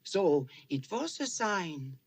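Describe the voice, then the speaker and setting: A woman playing a timid, pious missionary who speaks with a Swedish accent and is not a native English speaker, with halting, hesitant speech full of fillers. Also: fairly low pitch, sing-song, breathy edge, exaggerated voice. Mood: nervous, halting. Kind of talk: film dialogue.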